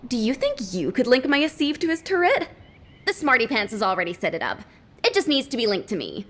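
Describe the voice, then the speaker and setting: A woman's voice: on the high side, wildly swooping pitch, brisk, fairly bright tone, full character voice. An actress playing a restless, emotional young woman in a video game cutscene, exasperated and rambling.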